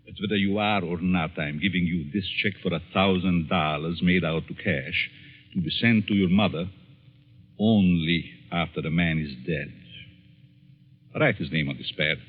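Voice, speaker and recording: An actor in a 1940s-style radio drama playing a sinister, calculating professor, measured and insinuatingly persuasive. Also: male voice, sits low. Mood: coolly instructing. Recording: muffled old radio recording, long reverb.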